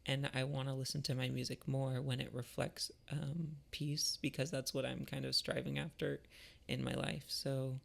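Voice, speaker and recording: A man talking in a casual, reflective monologue, thoughtful and halting, with a creaky voice. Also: mid-range voice, flat delivery, fairly bright tone. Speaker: artist and musician vlogger.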